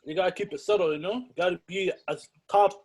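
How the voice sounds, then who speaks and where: Husky, lively high-voiced man. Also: quick pace. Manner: animated, emphatic, ends with "you know?". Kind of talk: casual podcast chat.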